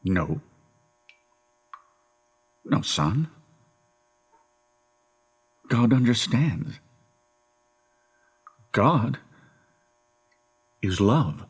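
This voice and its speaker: A man's voice: medium pitch, very animated, very slow, long pauses, breathy edge, heavily acted. An actor playing a menacing, controlling middle-aged father in an audio horror drama, with a folksy, coaxing, domineering manner. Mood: fervent, grieving.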